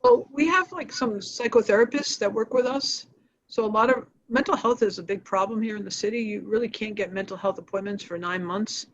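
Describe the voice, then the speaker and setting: A woman answering questions as a nonprofit executive, explaining programs in a halting, matter-of-fact, informal way. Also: mid-range voice. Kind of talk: informal spoken presentation on a video call. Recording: dull, lo-fi livestream audio.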